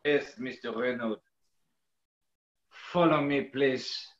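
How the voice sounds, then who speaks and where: A man playing an unhurried waitress at a New York gin club in a 1940s-style radio comedy. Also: medium pitch, reverberant, highs cut off, lightly acted. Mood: dutiful, unhurried.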